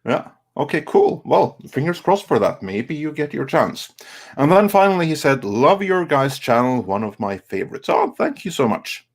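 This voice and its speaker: A man hosting a casual live chat show and reading out viewer messages in a halting way. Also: fairly high pitch, very animated. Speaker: host of a live stream show.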